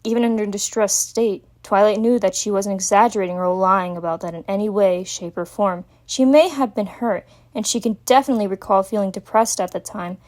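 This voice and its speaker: A woman's voice: mid-range voice, fairly even intonation, a little fast, few pauses, a little mellow. A woman narrating a scripted story as a dramatic reading, in an earnest, solemn manner.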